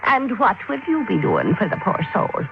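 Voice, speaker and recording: A woman's voice: medium pitch, huge pitch swings, moderate pace, gravelly, over music. An actress playing a feisty, indignant working-class woman who scolds in folksy, ungrammatical speech, in old-time radio style. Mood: scolding, huffy. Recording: noisy narrowband old radio recording.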